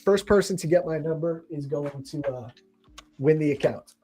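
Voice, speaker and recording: Upbeat man in his thirties, higher voice. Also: normal speed, warm tone, slightly rough. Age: 30s. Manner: upbeat, chummy, says bro a lot. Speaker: livestream host. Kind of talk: casual livestream chat.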